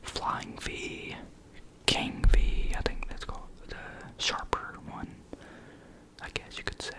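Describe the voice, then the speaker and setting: Whispered, slow ASMR guitar explainer. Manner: informal, hesitant, repeats key words slowly.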